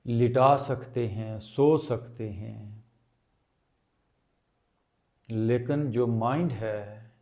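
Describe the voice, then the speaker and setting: A man leading a guided meditation as a teacher, speaking calmly and deliberately with long pauses. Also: mid-range voice.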